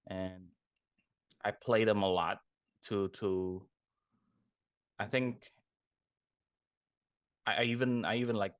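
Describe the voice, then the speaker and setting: A man's voice: lowish voice, no room sound, studio quality. A young man from Indonesia, a guest artist chatting casually in an interview, rambling and correcting himself as he goes. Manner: rambling, self-correcting, laughs often.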